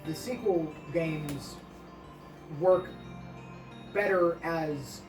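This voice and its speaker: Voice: medium pitch, extremely slow. A podcast co-host chatting casually in an opinionated, rambling, emphatic way and swearing freely. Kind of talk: casual discussion. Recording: roomy echo, distant mic, music behind. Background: music.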